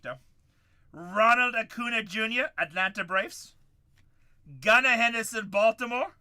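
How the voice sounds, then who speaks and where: A man's voice: high voice, sharp tone. A man in his forties hosting a live card-break stream, calling out cards and bantering with chat. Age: 40s.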